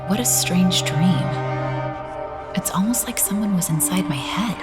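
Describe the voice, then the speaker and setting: A woman's voice: lowish voice, a little slow, over loud music, natural voice. A woman playing a troubled, inquisitive young noblewoman and grieving daughter in an audio drama, speaking pensively. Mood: puzzled.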